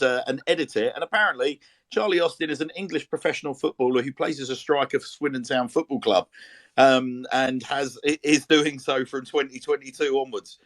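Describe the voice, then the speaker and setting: A man's voice: mid-range voice, no room sound. A man hosting a casual podcast chat, rambling with repeated words and plenty of fillers. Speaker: podcast host.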